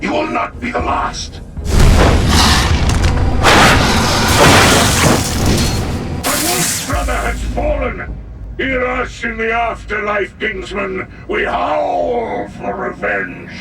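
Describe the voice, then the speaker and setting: A man playing a fierce, proud armoured wolf-brotherhood warrior in a video game, speaking in gravelly, solemn battle declamation. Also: very high-pitched, lively intonation, slow, exaggerated voice. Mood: vengeful roaring. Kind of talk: dramatic video game acting. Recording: echoey, distant, under very loud music.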